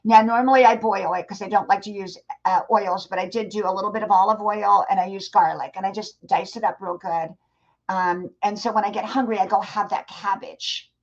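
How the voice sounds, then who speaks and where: A woman's voice: medium pitch, fairly even intonation, fairly quick, muffled recording. A woman in her sixties chatting casually on a live stream, answering viewers and giving encouragement, often repeating herself. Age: 60s.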